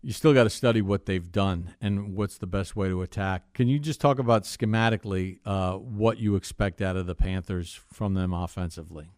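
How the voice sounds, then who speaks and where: A man's voice: sits low, normal speed, hardly any pauses, a little mellow, no echo, studio quality. A man hosting a sports broadcast interview, putting questions to his guest.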